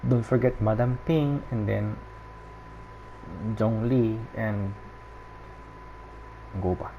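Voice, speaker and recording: Casting: female gameplay commentator, notably low register, slow and relaxed short asides. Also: highs cut off, a little hiss or hum. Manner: laid-back, murmured short reactions. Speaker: gamer commentator. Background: game music.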